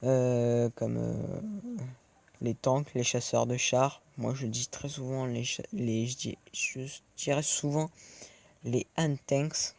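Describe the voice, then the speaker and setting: Gravelly-voiced man narrating his tank game. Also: medium pitch, steady tone, slow pace, darkish tone. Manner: chatty, rambling asides to viewers. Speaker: gaming video creator. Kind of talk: let's play commentary.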